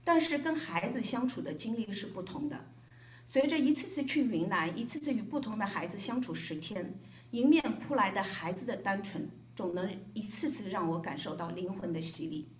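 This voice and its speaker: A woman's voice: medium pitch, animated, brisk. A woman from Shanghai, a volunteer reading a prepared, reflective speech at a gathering. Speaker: volunteer speaker. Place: hotel event room. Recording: echoey event room.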